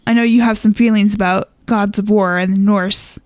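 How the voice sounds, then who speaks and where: A woman's voice: mid-range voice, steady tone, moderate pace, a little mellow, no echo, studio quality. A woman co-hosting a podcast in casual chat, speaking informally and wryly, often with a chuckle in her words. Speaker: podcast co-host.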